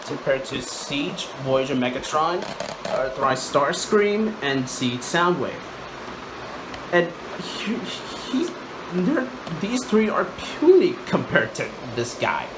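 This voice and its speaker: Slow, halting man reviewing toys. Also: fairly high pitch, very animated. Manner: halting, repeats words and phrases. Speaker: toy reviewer, channel host. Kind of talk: casual hands-on toy review. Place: indoors, room at home. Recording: loud air-conditioner-like background noise.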